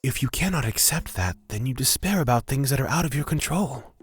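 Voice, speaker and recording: Man as husky, animated philosophical elf. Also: mid-range voice, fairly quick, faint music behind. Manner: reflective, probing. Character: humble, philosophical male gemstone elf, laid-back wanderer.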